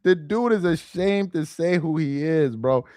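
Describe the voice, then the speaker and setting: Animated young man livestreaming, exasperated. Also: fairly high pitch, a little slow, no echo, clean studio sound. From Nigerian heritage. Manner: animated, laughing, exasperated, talks to chat directly. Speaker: livestream host reading viewer comments. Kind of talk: casual livestream chat.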